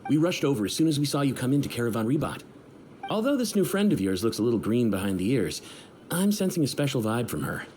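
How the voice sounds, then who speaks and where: A man's voice: medium pitch, very animated, fast, darkish tone, slight background noise, lightly acted. An actor playing a joking, flirty male mercenary in a game, who laughs a lot. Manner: laughing, jokey. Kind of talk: video game cutscene dub.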